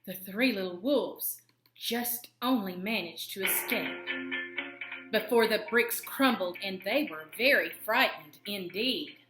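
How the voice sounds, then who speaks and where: A woman's voice: mid-range voice, slow pace, echoey room, music in the background, low-quality recording. A woman reading a storybook aloud to children in a theatrical, emphatic way. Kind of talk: expressive read-aloud narration for children.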